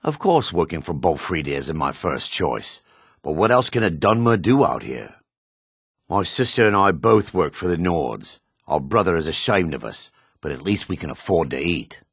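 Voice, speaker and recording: An actor playing a proud, gruff dark elf warrior in a fantasy game, with a raspy voice and a haughty, clipped, sardonic manner. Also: male voice, sits low, big pitch swings, moderate pace, no room sound, studio quality, heavily acted. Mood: weary, matter-of-fact. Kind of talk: fantasy video game character dialogue.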